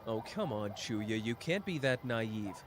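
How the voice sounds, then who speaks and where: Guy voicing a smug drawling tease, bouncy pitch, faint hiss in back. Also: lively intonation, measured pace. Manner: teasing, sly, drawling. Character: smug teasing young man, playful schemer. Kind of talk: ASMR roleplay audio drama.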